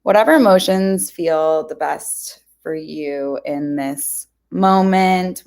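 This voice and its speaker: A woman guides a mindfulness meditation in a slightly low voice. She speaks very slowly, with a gentle, informal and reassuring delivery aimed straight at the listener.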